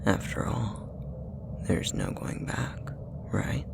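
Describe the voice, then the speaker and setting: A man voicing a possessive, manipulative demon in an intimate ASMR roleplay, whispering with a gravelly fry in a deliberate, taunting way. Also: lowish voice, huge pitch swings, full character voice. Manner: slow, deliberate, taunting, condescending. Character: possessive, manipulative male puppetry demon, coldly menacing.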